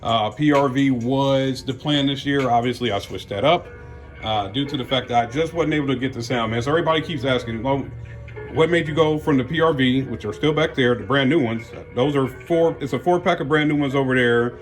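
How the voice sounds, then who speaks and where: A man's voice: medium pitch, brisk, soft background music. An American man giving casual unboxing commentary as a car audio vlogger, talking in a folksy, slangy way.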